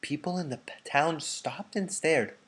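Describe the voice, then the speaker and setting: A man reading a children's book aloud expressively, moving dramatically between projected and hushed delivery. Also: a little high, measured pace.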